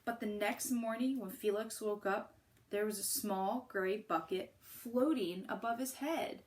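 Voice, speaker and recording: A woman teacher reading a picture book to children and pausing to put questions to her listeners. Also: medium pitch.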